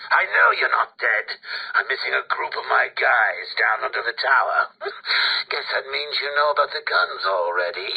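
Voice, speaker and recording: An actor playing a cackling, unhinged male clown villain who taunts his nemesis in a theatrical, mocking way, swinging between whispers and shouts. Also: mid-range voice, big pitch swings, normal speed, lo-fi sound, heavily acted. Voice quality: gravelly, with manic laughter. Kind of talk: video game voice acting.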